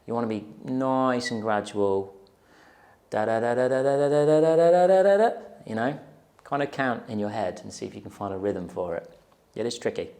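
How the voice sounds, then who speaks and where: A man's voice: mid-range voice, brisk, warm tone. A young man giving a casual guitar lesson in a small indoor studio, explaining step by step on a lapel mic and counting beats aloud. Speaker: guitar teacher. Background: electric guitar playing.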